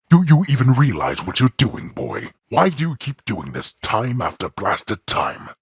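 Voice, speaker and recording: A man playing a stern, imperious god of the underworld and disapproving father in a video game, with haughty, formal, deliberate delivery and a gravelly, husky voice. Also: fairly low pitch, a bit bright, no echo, studio quality, full character voice. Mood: scornful, exasperated. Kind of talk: theatrical video game voice acting.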